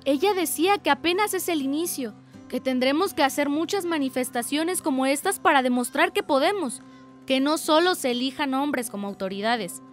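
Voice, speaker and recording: An actress playing an enthusiastic young activist friend in a radio drama, eager in manner. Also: female voice, sits high, animated, moderate pace, soft background music.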